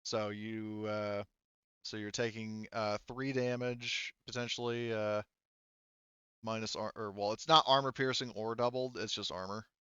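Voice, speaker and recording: A man's voice: fairly low pitch, little pitch movement, a little slow, sharp tone, smooth, dry recording. A man running a tabletop roleplaying game, casually narrating and making rulings as he thinks aloud, on a slightly dull microphone. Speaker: game master.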